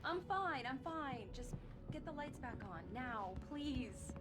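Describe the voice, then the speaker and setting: A woman's voice: high voice, animated, slow. A woman playing an affectionate, teasing wife in a video game, with an intimate, natural delivery. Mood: frightened. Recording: music under the voice. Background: background music.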